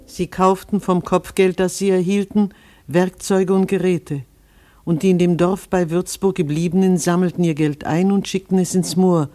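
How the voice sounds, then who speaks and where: An actor playing a solemn chronicler who recites a family history like scripture in a radio play. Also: male voice, sits high, little pitch movement, normal speed, warm tone, lightly acted. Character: solemn biblical-style chronicler of a settler family.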